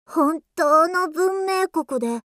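Boastful lazy boy, high, slow, dry sound. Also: lively intonation, fairly bright tone, no echo, heavily acted. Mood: coaxing. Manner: boastful, coaxing boyish talk. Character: lazy, cocky schoolboy tempter.

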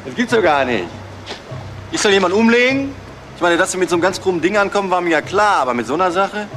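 An actor playing a gruff, indifferent ex-convict in a crime radio drama, with a terse, blunt, offhand way of talking. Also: male voice, high-pitched, big pitch swings, fast talker, a bit bright, soft background music. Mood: incredulous.

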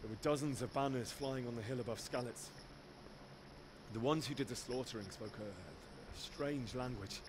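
An actor playing an earnest, shaken young blacksmith's son in a medieval video game, speaking hesitantly. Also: male voice, mid-range voice, moderate pace, lightly acted. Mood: earnest, subdued. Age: young adult. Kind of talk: video game cutscene acting. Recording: noisy game ambience behind.

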